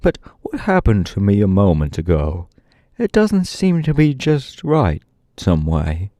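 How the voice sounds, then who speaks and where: Man putting on a talking sawhorse, slow wondering drawl, simple and humble, storybook. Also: fairly low pitch, huge pitch swings, warm tone, no echo, clean studio sound. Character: simple, humble wooden sawhorse come to life.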